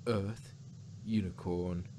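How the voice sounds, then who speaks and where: Man voicing a low, solemn pony ruler. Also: steady tone, background noise. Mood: grave, secretive. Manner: measured, confessional storytelling. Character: solemn, ancient female pony ruler, confiding secrets. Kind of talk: amateur fan-fiction character reading.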